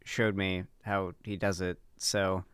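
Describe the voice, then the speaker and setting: A man's voice: deep voice, level tone, slow, fairly bright tone, clean tone, dry recording, studio quality. A man hosting a livestream, talking in a casual, informal and chatty way. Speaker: livestream host. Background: background guitar music.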